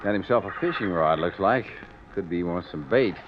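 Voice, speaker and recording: An actor playing an old, easygoing American bait shack keeper in a radio drama, folksy and laconic. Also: male voice, deep voice, animated, a little fast. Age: older. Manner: folksy, laconic, clipped phrases. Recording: dull old radio recording, waterside noise.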